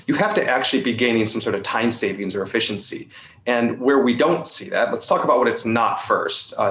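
A man giving an articulate, conversational explanation as an interview guest, often checking his points with a quick tag question. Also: medium pitch, fairly bright tone, slight background noise. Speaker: company representative being interviewed. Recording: roomy, echoing sound.

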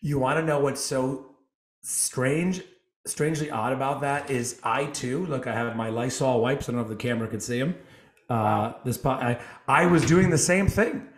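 A man's voice: mid-range voice, normal speed. A man hosting a podcast, full of playful, self-mocking banter and showman-like flourishes. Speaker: podcast host. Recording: roomy echoey sound.

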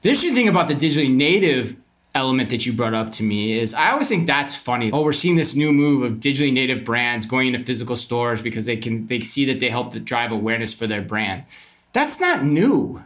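A man's voice: mid-range voice, big pitch swings, fast talker, a bit bright. A man speaking conversationally as a podcast guest, giving emphatic opinions and posing rhetorical questions as he explains retail business. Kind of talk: conversational interview answers.

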